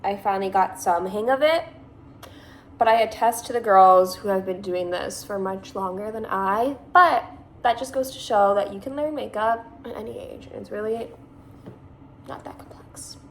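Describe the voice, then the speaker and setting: A woman's voice: mid-range voice, normal speed, slight background noise. A woman in her twenties with a Californian accent, chatting casually through a makeup tutorial, rambling and saying "like" often. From Massachusetts, USA. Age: 20s. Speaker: beauty vlogger.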